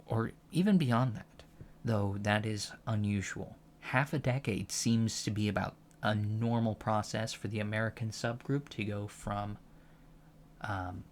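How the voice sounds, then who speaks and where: A man playing an earnest alien college professor in a soft-spoken ASMR lecture roleplay, halting and deliberate, carefully correcting himself as he explains. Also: lowish voice, natural voice. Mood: earnest, explanatory. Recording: clear steady background noise.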